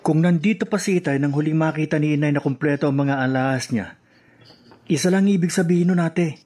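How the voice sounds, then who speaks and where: An actor playing an indignant, protective young son in a Filipino radio drama. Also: male voice, fairly high pitch, brisk, a little mellow, natural voice. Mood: accusing, hurt.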